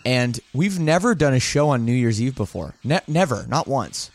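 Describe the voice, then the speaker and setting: A man's voice: mid-range voice, big pitch swings, normal speed. A man hosting a casual podcast, upbeat and rambling, often restarting his sentences. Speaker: podcast host.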